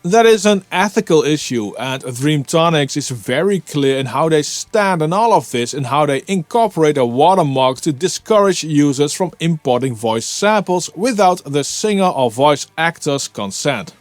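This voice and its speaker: A man with a slightly higher-than-average voice narrates a scripted review as its host. The recording is very dry, with faint music behind him. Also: expressive, moderate pace, few pauses, no room sound. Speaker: host of an audio plugin review.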